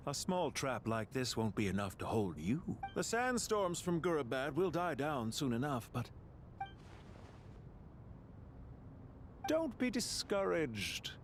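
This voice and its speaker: A suave game villain with a mid-range voice that moves in lively, deliberate curves. He is measured and polite, with smug condescension, over a faint rumble. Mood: smug, amused. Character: suave male mastermind villain, calm, courteous, condescending. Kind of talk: video game character acting.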